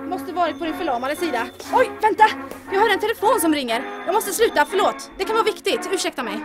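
An actress playing a polite, flustered young secretary who is secretly exasperated, in a comedic audio drama. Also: female voice, very high-pitched, sing-song, over music.

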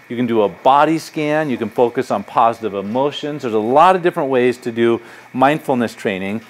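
A middle-aged man, a psychology professor, giving a public lecture in a university lecture hall with a conversational, anecdotal manner and lots of gesturing. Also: medium pitch. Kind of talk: public lecture with audience questions.

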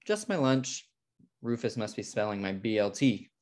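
A man's voice: on the low side, big pitch swings, normal speed, a little mellow. A young American man playing an uptight, fussy schoolteacher brother in a comedy table read. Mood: polite. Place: indoor room, video call.

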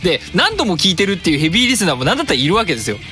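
A man's voice: sits high, huge pitch swings, very fast, bright, forceful tone, soft background music. A man in his thirties hosting a web radio show, chatting casually and jokingly and reading out announcements. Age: 30s. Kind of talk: casual comic chat and announcements.

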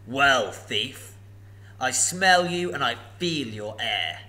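A man voicing a sly, menacing old male dragon, slow and mocking. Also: mid-range voice, lively intonation, pushed and bright, full character voice. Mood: sneering.

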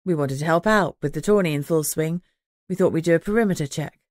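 A woman voicing a friendly, deferential female werewolf in an audiobook, with a warm manner. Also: deep voice, fast talker, no echo, clean studio sound, close to an everyday voice.

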